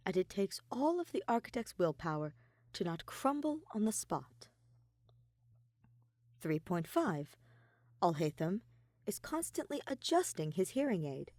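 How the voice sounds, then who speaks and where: Woman narrating a story with very lively intonation. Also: fairly low pitch, measured pace, long pauses, no echo, studio quality. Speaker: podfic narrator reading fan fiction aloud. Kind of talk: expressive scripted story narration.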